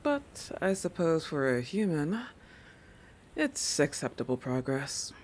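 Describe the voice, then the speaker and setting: A woman's voice: low-pitched, sing-song, slow pace, darkish tone, a little hiss or hum, exaggerated voice. A woman voicing a proud, possessive young shark girl in a roleplay monologue, with a haughty, teasing manner that keeps correcting itself. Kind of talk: roleplay audio monologue addressed to the listener.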